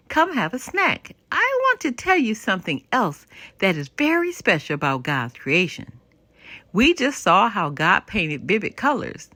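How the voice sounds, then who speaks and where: A woman voicing a kindly, wise grandmother in a children's storybook, with an emphatic, gently teaching delivery. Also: medium pitch, no room sound, full character voice. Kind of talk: children's storybook read-aloud.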